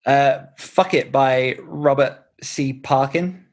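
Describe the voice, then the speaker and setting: A man's voice: medium pitch, takes it slowly. A man with a British accent in casual podcast chat, informal and jokey in the way he talks. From Britain. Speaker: podcast host and author, being interviewed on own show.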